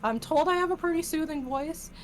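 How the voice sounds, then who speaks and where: A woman's voice: high voice, brisk. Boisterous woman with a country accent. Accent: rural American country. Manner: boisterous, rowdy, swears freely. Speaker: guest singer. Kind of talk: casual chat on a stream.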